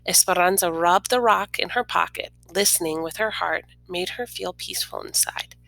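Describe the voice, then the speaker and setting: A woman reading a children's picture book aloud expressively, pausing to explain things simply and informally to young listeners. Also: lowish voice, vocal fry, a bit bright, no room sound, poor audio. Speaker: read-aloud storyteller.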